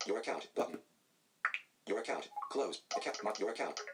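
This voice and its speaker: A male screen reader voice rattles off screen items in flat, synthetic announcements. It speaks very fast, and steady background noise runs under the recording. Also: lowish voice.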